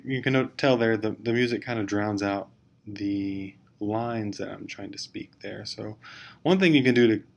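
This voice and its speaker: A man narrating a casual instructional screencast, explaining step by step in an informal, hesitant way. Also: lowish voice. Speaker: teacher giving software tutorial. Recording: clear background noise.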